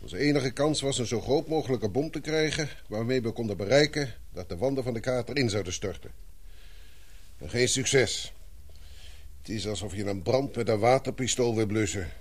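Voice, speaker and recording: A man playing a gruff, weary military commander with a dry, sardonic, clipped manner in a radio play. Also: medium pitch, moderate pace, close to an everyday voice. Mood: weary, blunt.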